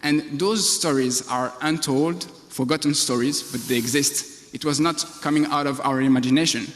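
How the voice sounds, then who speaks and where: Man on a reverberant conference stage, fairly high-pitched. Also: steady tone, normal speed, a little hiss or hum. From Belgium. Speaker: panel speaker on de-radicalization. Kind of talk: conference speech with personal examples. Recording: echoey conference hall, long reverb.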